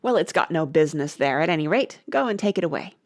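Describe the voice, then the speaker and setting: A woman's voice: low-pitched, expressive, fast talker, no room sound, clean studio sound. A woman voicing an angry, imperious male rabbit who barks orders in a storybook reading. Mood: angry, scolding. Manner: barking imperious orders, scolding. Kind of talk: storybook character voice.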